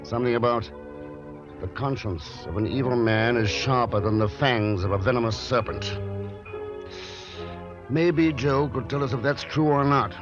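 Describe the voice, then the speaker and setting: An actor playing a resolute adventurer, wry and unflappable, in an old-time radio drama. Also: male voice, mid-range voice, normal speed, long pauses, music in the background, lightly acted. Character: cool, resolute male adventurer hero, wry. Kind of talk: 1940s radio drama acting. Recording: muffled, noisy old radio recording.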